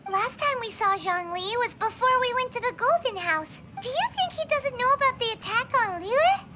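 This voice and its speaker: A woman voicing a small, chatty, excitable fairy girl with a squeaky childlike voice in a video game. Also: normal speed. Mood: puzzled, concerned. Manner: chirpy, refers to herself in third person. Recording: background music under the voice.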